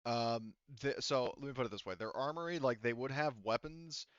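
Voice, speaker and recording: A man running a tabletop sci-fi game as game master, explaining haltingly and often circling back to rephrase. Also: mid-range voice, clean tone, no room sound, lo-fi sound.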